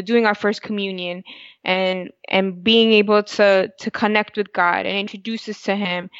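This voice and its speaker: A woman co-hosting a podcast, Spanish her first language, recalling things in a reflective, halting way. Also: medium pitch, a bit bright, no echo. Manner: reflective, with frequent restarts. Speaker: co-host. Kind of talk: casual podcast conversation. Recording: dull, dry podcast audio.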